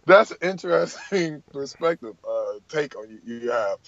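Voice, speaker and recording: A man's voice: a little high, expressive, moderate pace, creaky, fairly bright tone, dry recording. Man, podcast co-host, rambling casual chat, dry close mic. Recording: dull muffled mic.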